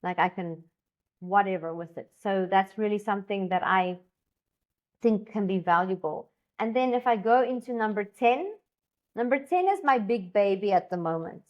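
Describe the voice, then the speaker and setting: A woman's voice: mid-range voice, normal speed, dull audio. A woman from Africa, an Afrikaans speaker, talking casually and enthusiastically, rambling with many fillers and restarts. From South Africa. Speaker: co-founder and education designer. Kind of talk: casual conversation.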